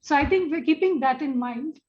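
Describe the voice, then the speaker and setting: A woman with an Indian accent moderating a panel, halting as she introduces guests and puts questions to them. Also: high voice, highs cut off.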